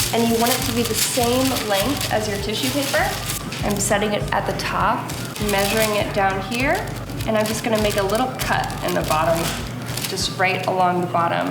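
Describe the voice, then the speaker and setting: A woman's voice: medium pitch, very noisy. A young woman giving a casual, chatty craft tutorial, instructive with playful asides. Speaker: host, mother of a toddler. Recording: roomy echo, loud music behind. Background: music.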